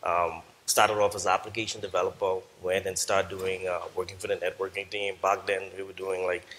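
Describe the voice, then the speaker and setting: A middle-aged Bahamian man on a conference panel, calmly recounting his career with frequent fillers. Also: low voice, a little fast. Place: large conference hall stage. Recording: long hall reverb, faint noise.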